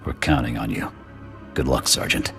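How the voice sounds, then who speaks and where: An actor playing a gruff, authoritative army lieutenant giving orders in a video game cutscene. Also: male voice, normal speed, fairly bright tone, soft background music, natural voice. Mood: grave. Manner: authoritative military briefing.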